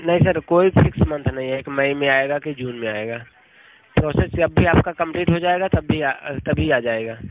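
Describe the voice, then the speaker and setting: A man's voice: a little high, moderate pace. A man with an Indian accent working as a helpline agent, answering politely and formally on a recorded phone call. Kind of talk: customer service phone call. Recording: tinny phone line with clear noise.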